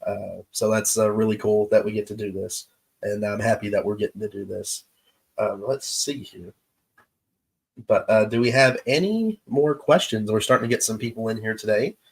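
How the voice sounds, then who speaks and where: A man hosting his show's live stream, chatting casually with viewers in an easygoing, halting way, his voice stuffed up from allergies. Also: on the low side, creaky, breathy edge. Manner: easygoing, halting with many pauses. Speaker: show host. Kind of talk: casual livestream chat with viewers.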